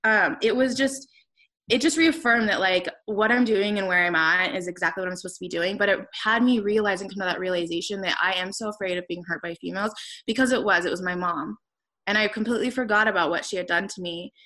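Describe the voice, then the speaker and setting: Woman around thirty, podcast host and coach, earnest and rambling, middle pitch. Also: fast talker, sharp tone. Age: 30s. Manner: earnest, rambling, many fillers and restarts. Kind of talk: casual podcast conversation.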